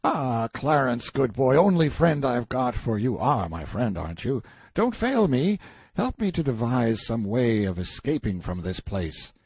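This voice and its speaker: Man playing a brash, animated stranded time traveler. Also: mid-range voice, a little slow, slightly rough, no room sound, voice pushed up, full character voice. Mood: beseeching. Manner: blunt, emphatic, colloquial. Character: brash, sardonic, self-assured man stranded in King Arthur's court.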